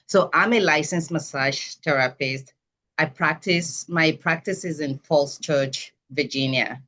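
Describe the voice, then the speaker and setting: A woman from Nigeria with a Nigerian accent, giving a measured, explanatory talk as a massage therapist over a video call. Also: deep voice, bright, forceful tone. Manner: measured, explanatory, self-correcting.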